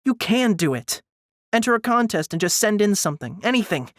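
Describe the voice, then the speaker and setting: Man voicing a sassy, pushy young woman, pitched up. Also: sing-song, brisk, no room sound, studio quality. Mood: forceful, urging. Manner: blunt, insistent. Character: sassy, pushy young woman encouraging her hesitant friend. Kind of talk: dramatised audiobook dialogue.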